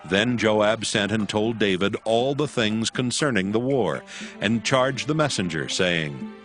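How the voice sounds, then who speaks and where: A man narrating scripture from a script in a formal, measured, solemn manner. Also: lowish voice, lively intonation, a bit bright. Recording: music behind the voice.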